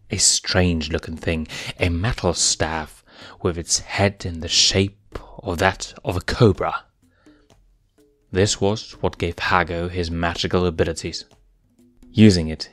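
A man with a very low voice narrates a fan story with measured, dramatic delivery. He is recorded close and dry, with faint music underneath. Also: no echo. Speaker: reader. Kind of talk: fanfiction audiobook narration.

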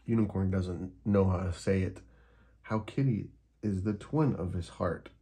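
A man reading a children's storybook aloud as an expressive narrator. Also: low-pitched, measured pace, a little hiss or hum. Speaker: storyteller, host of a read-aloud show.

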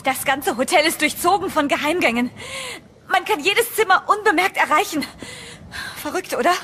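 A woman's voice: sits high, moderate pace, sharp tone, a little hiss or hum. A woman playing a bold, determined investigator in a German-style horror radio play, acted for audio. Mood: urgent, determined. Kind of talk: horror radio drama acting.

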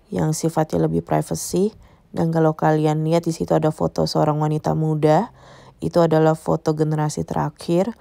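Low-pitched, creaky-voiced woman vlogger. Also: warm tone, no echo. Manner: explanatory, addressing viewers directly. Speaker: travel vlogger. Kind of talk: casual vlog tour narration.

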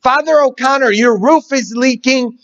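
Brief: priest doing a shrill, panicky older housekeeper lady, voice pushed way up, muffled churchy echo. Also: normal speed, a bit bright. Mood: fussing. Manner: frantic, running in with complaints. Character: frantic older woman housekeeper.

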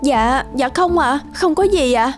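A woman's voice: sits high, lively intonation, measured pace, over music. An actress playing a humble, submissive peasant woman in a cartoon folk tale, meek and deferential.

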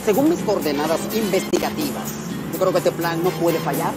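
A woman's voice: on the low side, normal speed, lightly acted. A woman playing a self-important, zealous amateur investigator, pompous, with a Dominican accent. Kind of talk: comedy film acting. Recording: music behind the dialogue.

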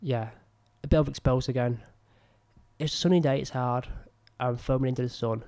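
Croaky young man rambling about his film. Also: medium pitch, fairly even intonation, normal speed, a little mellow. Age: young adult. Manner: rambling, self-critical, many fillers. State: ill, croaky voice. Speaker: filmmaker reviewing his own work. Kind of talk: casual commentary over footage. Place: small room indoors at a desk. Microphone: studio mic on a boom arm close to mouth.

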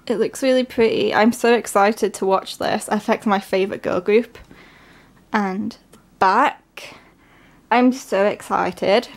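Congested young woman, chatty and excited. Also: mid-range voice, a little slow. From England. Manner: chatty, excited, many fillers. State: congested from a cold. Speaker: vlogger. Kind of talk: casual unboxing narration. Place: at home, at a table.

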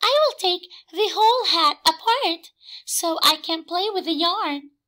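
A woman voicing a sweet, playful female cat in a children's storybook, with lilting, drawn-out delivery. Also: very high voice, expressive, sharp tone, voice pushed up, heavily acted.

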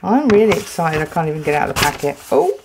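A woman with an English accent, casually chatting while unboxing a stationery haul at a tabletop, excitable and full of ums and restarts, her throat dry. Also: low-pitched, fast, creaky, very noisy. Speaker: planner vlogger. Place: indoors at a tabletop.

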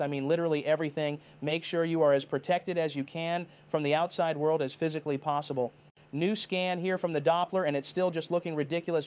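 Man acting as urgent, clear-voiced TV meteorologist. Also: on the high side, a little mellow, natural voice. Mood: urgent, grave. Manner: grave, insistent, repeatedly addressing viewers as folks. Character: urgent male TV meteorologist warning of deadly tornado. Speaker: TV weather forecaster. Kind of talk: live severe weather broadcast commentary.

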